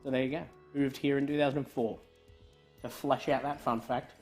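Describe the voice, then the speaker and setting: Jokey Scottish-born quiz host. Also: male voice, medium pitch, steady tone, a little fast, faint music behind. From Scotland. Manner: jokey, laughing often, trailing off quietly. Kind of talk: live online pub quiz hosting.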